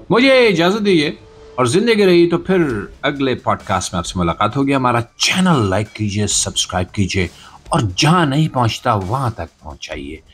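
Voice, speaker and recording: Animated Pakistani man doing satirical commentary. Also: on the low side, breathy edge. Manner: sarcastic, theatrical, rhetorical delivery. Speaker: podcast host. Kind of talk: satirical news commentary monologue.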